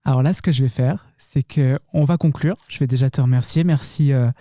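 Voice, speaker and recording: A man hosting a podcast interview, asking questions in a relaxed, unhurried way. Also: mid-range voice, dry recording, clean studio sound. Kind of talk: casual interview.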